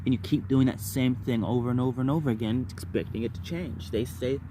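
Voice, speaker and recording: A man's voice: medium pitch, brisk, noisy background. A man telling personal stories casually to viewers, rambling and repeating words and phrases in bursts. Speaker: vlogger.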